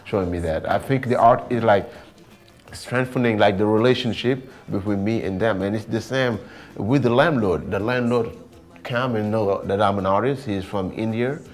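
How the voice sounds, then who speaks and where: A man's voice: on the low side, moderate pace. A man with a Senegalese accent talking informally in an interview as an artist, often repeating words and phrases. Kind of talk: casual interview talk.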